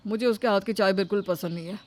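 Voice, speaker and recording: A woman's voice: mid-range voice, fairly even intonation, fast talker, no room sound, pitched below the performer's own voice, natural voice. A woman voicing a whiny, hapless grown man, a son and husband in a story, pleading and easily flustered. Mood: complaining.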